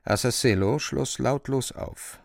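A man narrating a literary radio drama in a creaky voice, deliberately, phrase by phrase. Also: medium pitch, darkish tone, no room sound, clean studio sound. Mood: measured, wry. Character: measured literary narrator of a dark fantastic tale.

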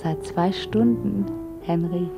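A woman's voice: lowish voice, slow, creaky. An actress playing a tender, wistful, lovestruck woman in her early twenties in a 1950s German radio play. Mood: intimate. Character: dreamy lovestruck young woman of twenty-two. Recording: 1950s radio recording with guitar music. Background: plucked guitar music.

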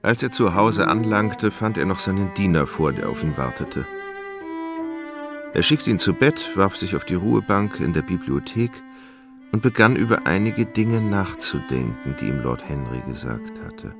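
Very deep, gravelly male narrator over music. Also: animated. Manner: measured, deliberate. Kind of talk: literary radio drama narration.

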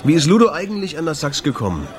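A man's voice: a little high, big pitch swings, quick pace, soft background music. An actor playing a sardonic, insistent man who runs a jazz club and asks terse, probing questions in a German radio drama. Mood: casual probing. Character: insistent male jazz club owner, sardonic amateur investigator.

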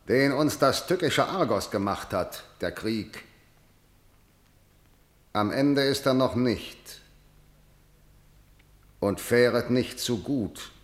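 A man's voice: mid-range voice, lightly acted. A man acts a middle-aged tyrant in a verse radio play. He declaims with pride and harsh command in a medium male register, and the room echoes around the voice. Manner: declamatory, imperious. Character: proud, harsh middle-aged tyrant defending his war. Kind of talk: stage-like verse radio drama.

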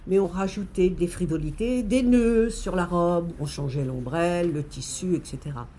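Mellow older woman in her seventies. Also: fairly low pitch, normal speed. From Paris, France. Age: 70s. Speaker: shopkeeper being interviewed. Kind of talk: spontaneous interview answers. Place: small umbrella boutique. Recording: faint shop ambience.

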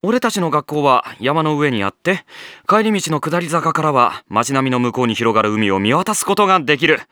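A man playing a sardonic, put-upon high school boy who narrates and grumbles in an anime audio drama. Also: no room sound, studio quality. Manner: wry, exasperated, deadpan asides.

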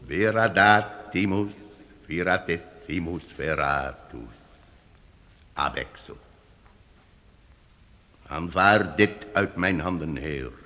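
Give the minstrel master a low, creaky voice in a long, reverberant hall. He is a wily, courteous trickster in a radio play, speaking with solemn deference. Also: bright, forceful tone, heavily acted. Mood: ceremonious. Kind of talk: old radio play acting for youth audience.

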